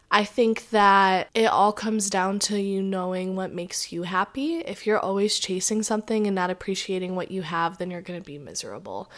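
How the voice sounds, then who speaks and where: A woman hosting her own podcast in a casual, rambling chat, her voice raspy from a sore throat, with lots of "like" and "um". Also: medium pitch. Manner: chatty, rambling, many "like"s and "um"s. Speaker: podcast host. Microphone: podcast microphone.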